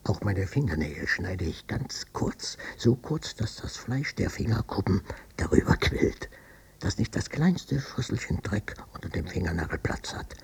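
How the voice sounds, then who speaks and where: An actor playing a gruff, stubborn old father and war veteran in a German radio drama, with a husky, gravelly voice and a curt, defensive manner. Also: low-pitched, animated, unhurried, in a whisper, heavily acted. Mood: pedantic, fussy.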